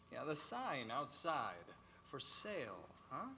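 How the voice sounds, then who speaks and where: An actor playing a good-humoured lawyer and family friend in a 1940s-style radio play. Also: male voice, mid-range voice, sharp tone, slight background noise, full character voice.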